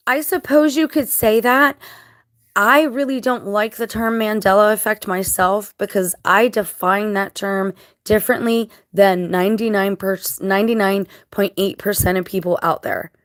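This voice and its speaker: A woman with a scratchy, dry-mouthed voice after crying, talking casually to viewers in a halting, reflective, earnest way. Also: mid-range voice, dry recording. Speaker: vlogger.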